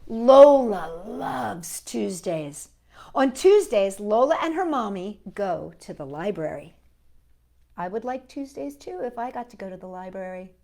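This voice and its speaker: A woman's voice: mid-range voice, sing-song, a little slow, gravelly, warm tone. A woman hosting a children's storytime, reading a picture book expressively and chatting to young listeners in asides.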